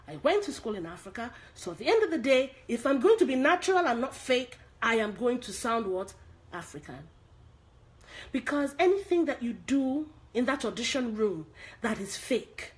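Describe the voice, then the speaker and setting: A woman's voice: on the high side, very animated, normal speed, rough recording. Emphatic Nigerian woman teaching acting. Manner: emphatic, repeats key points, asks rhetorical questions. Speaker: acting coach. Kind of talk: online acting lesson to viewers.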